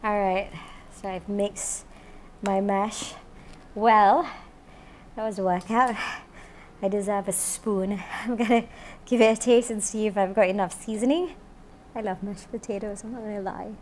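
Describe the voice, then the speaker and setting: A woman's voice: mid-range voice, fairly even intonation, unhurried, pauses often, darkish tone, slight background noise. Woman cooking show host, thirties, chatty and upbeat, lapel mic, faint room ambience, kitchen studio. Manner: chatty, upbeat, lots of "you know". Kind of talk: cooking demonstration to camera. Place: home-style kitchen studio, indoors.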